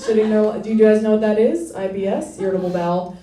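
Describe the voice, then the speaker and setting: A young woman with a deep voice doing a self-deprecating stand-up set, with hesitant fillers and dry delivery. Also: quick pace, mellow. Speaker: stand-up comedian. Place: small comedy club stage with laughing audience. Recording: echoey club, audience laughter, from a distance.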